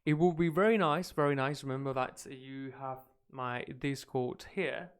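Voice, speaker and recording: Spanish-born English teacher on a live stream, British-leaning accent, lively chatty delivery, mid-pitched voice. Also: male voice, normal speed, clean tone. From Spain. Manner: energetic, chatty, reacting to clips.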